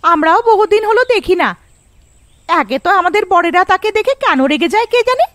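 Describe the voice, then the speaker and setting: Woman doing chatty high-pitched village housewife. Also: very animated, brisk, a bit bright, dry recording, heavily acted. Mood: curious. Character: gossipy, timid village housewives in a fairy-tale cartoon.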